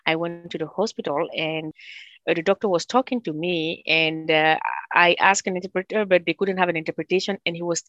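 A woman's voice: lowish voice, a little fast, muffled recording. A woman from Cameroon with a Cameroonian French accent, a guest telling her story in an interview. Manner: storytelling, animated when quoting others. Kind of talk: interview conversation.

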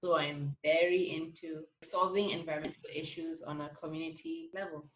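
A young woman speaks in a low voice, casually introducing herself as a co-host. She sounds some way from the microphone in an echoey room, with a little noise. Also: flat delivery, brisk, a bit bright. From Nairobi, Kenya. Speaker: student, guest co-host. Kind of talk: conversational self-introduction. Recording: echoey, distant mic, faint noise.

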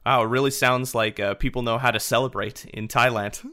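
A male radio host speaks calmly and evenly as he asks his guests questions and reads scripted introductions. His voice is of ordinary middle pitch for a man. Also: fairly quick, clean tone. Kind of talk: interview and scripted narration.

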